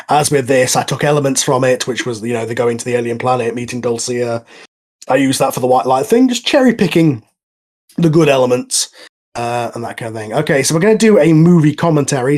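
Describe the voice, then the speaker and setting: A man's voice: medium pitch, darkish tone. A man hosting an informal film commentary, explaining things casually with a hesitant, chatty delivery. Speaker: host and writer of an audio drama.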